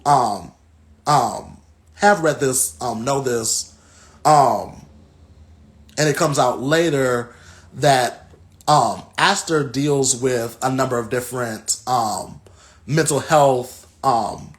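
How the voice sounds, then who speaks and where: Thoughtful American man with halting delivery. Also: mid-range voice. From Southern United States. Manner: thoughtful, halting with frequent pauses. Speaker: guest reader. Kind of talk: reflective book talk and reading aloud.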